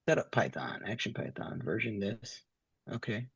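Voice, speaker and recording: A man learning as a student, thinking aloud haltingly as he reads through material and checks his understanding. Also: fairly low pitch, expressive, a bit bright, husky, dry recording, highs cut off. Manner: tentative, halting, asks to confirm understanding. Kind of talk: thinking aloud while reading through code.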